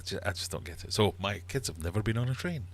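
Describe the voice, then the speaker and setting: Deep, lively Scottish man. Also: quick pace, breathy edge. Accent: Glaswegian Scottish. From Glasgow, Scotland. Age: middle-aged. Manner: chatty, rambling, animated. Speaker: livestream host and quizmaster. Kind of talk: casual live chat, reading viewer comments.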